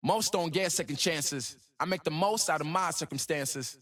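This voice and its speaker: A man's voice: fairly high pitch, normal speed, a bit bright. A man voicing a cocky young rapper prodigy in a video game, delivering rhymed boasts with a swaggering, rhythmic flow. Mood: proud, lyrical. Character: cocky young gifted male rapper prodigy, playful, proud. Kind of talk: rhymed, rap-like game voice lines.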